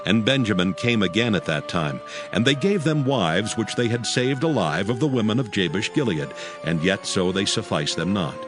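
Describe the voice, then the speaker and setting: A man's voice: lowish voice, lively intonation, normal speed. A man narrating scripture in a solemn, scripted style for a dramatized audio Bible. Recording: music bed behind the voice. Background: background music.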